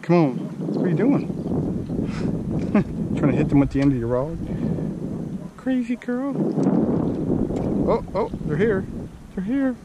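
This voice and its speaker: A man's voice: sits high, animated, unhurried, long pauses, muffled recording. A man filming himself fishing from a small boat outdoors, giving playful, exclaiming commentary and humming to himself. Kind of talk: casual running commentary. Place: small motorboat on a lake, outdoors. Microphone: action camera on the boat. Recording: loud wind buffeting the mic. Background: wind and boat noise.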